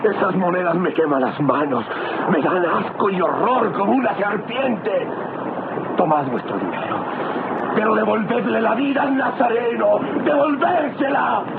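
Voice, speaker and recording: An actor playing a despairing, guilt-ridden betrayer, wailing theatrically in an old melodramatic radio drama. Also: male voice, high voice, expressive, a little slow, creaky, exaggerated voice. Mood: desperate horror. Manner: anguished, theatrical, repetitive wailing and crying out. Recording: muffled old radio recording, loud background noise.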